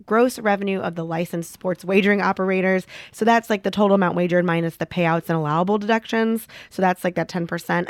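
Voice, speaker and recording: A woman politics reporter answering interview questions, a bit hoarse from a sinus infection. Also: on the low side, no echo. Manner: informative, with light laughs and fillers.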